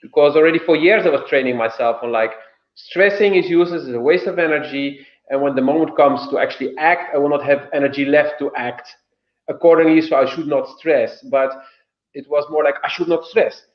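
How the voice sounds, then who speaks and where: A man's voice: a little high, a little fast. Thoughtful guy chatting, tells stories, kinda roomy dull sound. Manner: reflective, storytelling with quoted asides. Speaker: podcast host. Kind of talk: casual conversation.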